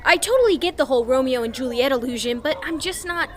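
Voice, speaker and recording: A woman playing an eager young actress in an audio drama, keen and gently insistent. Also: high voice, expressive, fast talker. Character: eager, questioning young actress rehearsing a scene. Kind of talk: naturalistic audio drama acting.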